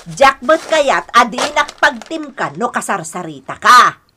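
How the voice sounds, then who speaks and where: A quarrelsome, domineering Ilocano mother-in-law for radio drama. Her voice is high and piercing, with dramatic swoops as she scolds and bosses in an exaggerated way. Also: very animated, a little slow, sharp tone, slight background noise, exaggerated voice. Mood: haughty, confrontational. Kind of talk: Ilocano comic-melodrama radio acting.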